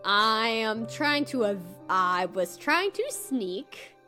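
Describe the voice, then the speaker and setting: A woman's voice: on the high side, expressive, slow, bright, forceful tone. A woman playing a tabletop game, describing her actions in playful, casual chat. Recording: music bed behind the voice.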